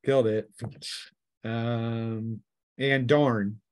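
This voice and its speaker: A man's voice: medium pitch, fairly even intonation, drawn-out words. A man running a tabletop role-playing session as game master in a casual, playful manner. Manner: playful, chuckling, acts out sound effects and shouts.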